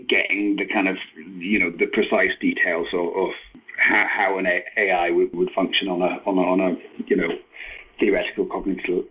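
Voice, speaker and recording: A man in his forties giving a thoughtful interview, speaking haltingly with repeated words and many verbal hedges. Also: deep voice, fairly bright tone, slightly rough, low-quality recording. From Scotland. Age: 40s. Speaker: interview guest, novelist and screenwriter.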